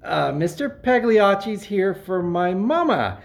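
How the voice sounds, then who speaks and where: A woman's voice: mid-range voice, big pitch swings, slow, gravelly, exaggerated voice. A woman playing a wily male schemer in a stage farce, bossy and evasive as he stalls and improvises cover-ups. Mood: smoothing over, evasive. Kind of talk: stage farce play reading.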